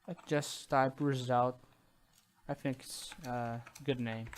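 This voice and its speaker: A man with a Brazilian accent talks through a programming tutorial in English, which is not his first language. He speaks at a very slow, halting pace, full of fillers and restarts, at a middling pitch, with keyboard typing behind him. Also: fairly even intonation. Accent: Brazilian Portuguese. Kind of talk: screen-recorded coding tutorial.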